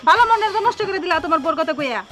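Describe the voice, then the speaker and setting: Pouty, wheedling village girlfriend, played by a woman, Bangladeshi accent, shrill-high register, fast delivery. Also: expressive. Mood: sulky. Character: pouting, demanding village mistress wheedling her married lover. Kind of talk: Bengali web comedy drama acting. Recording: loud music under the voice.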